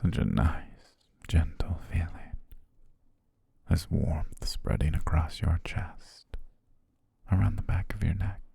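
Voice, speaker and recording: Man doing a low, gravelly whispering butler. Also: lively intonation, slow, pauses often, no room sound, exaggerated voice. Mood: soothing whisper. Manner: soft, coaxing, doting, lulling. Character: devoted, quietly possessive male butler. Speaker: ASMR voice actor. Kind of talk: ASMR roleplay. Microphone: close mic.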